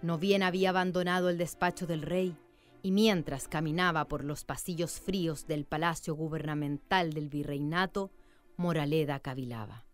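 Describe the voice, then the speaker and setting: A woman narrating a scripted radio drama in a measured, literary storytelling manner. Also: low voice, fairly even intonation, soft background music.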